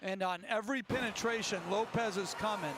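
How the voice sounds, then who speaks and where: High-pitched male basketball analyst in a noisy arena. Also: a little fast. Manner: explaining tactics. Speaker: television game analyst. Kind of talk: analytical live basketball commentary. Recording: clear arena noise, bouncing basketballs.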